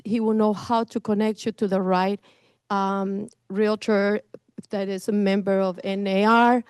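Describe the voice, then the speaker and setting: A middle-aged woman from Costa Rica speaks with a Costa Rican Spanish accent as a guest realtor at a business meeting. She is enthusiastic and effusive, piling up warm praise. Her voice sits in a mid range, recorded dry. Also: medium pitch, level tone, unhurried, clear, resonant tone, no echo. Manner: enthusiastic, effusive, laughs between phrases.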